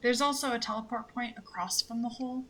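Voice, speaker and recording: A woman's voice: on the high side, fast, a bit bright. A young woman chatting casually with a gaming partner, hesitant at times and playful. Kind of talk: casual gameplay chat. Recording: faint background noise.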